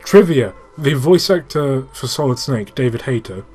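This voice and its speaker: A man's voice: mid-range voice, lively intonation, normal speed, darkish tone, soft background music. A man hosting casual gaming commentary, swearing freely and explaining things with enthusiasm. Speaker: host playing and explaining a video game. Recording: clearly noisy room recording.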